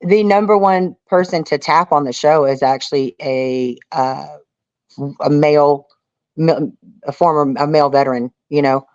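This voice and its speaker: A woman's voice: low-pitched, moderate pace, a little mellow, no echo, dull audio. A woman talking casually and emphatically as an interview guest, often checking that the listener follows. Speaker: guest, reality survival show contestant.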